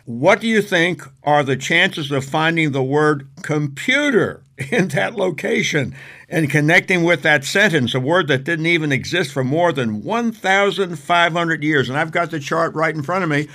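A man's voice: on the high side, animated, normal speed, sharp tone. A man hosting a radio interview, asking questions eagerly and with enthusiasm. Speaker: radio host.

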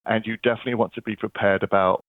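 A man's voice: lowish voice, steady tone, very fast, no echo. London man on a tinny phone line. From England. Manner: chatty, earnest storytelling. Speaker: guest. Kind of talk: phone interview.

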